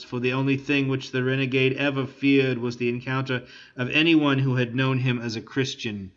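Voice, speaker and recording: A man narrating a classic novel as an audiobook in a steady, measured reading. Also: medium pitch, dull audio. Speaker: audiobook reader.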